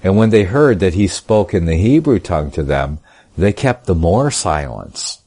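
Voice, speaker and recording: A man giving a sermon, reading scripture aloud slowly and with emphasis, then explaining it in casual asides. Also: deep voice, animated, warm tone. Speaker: preacher.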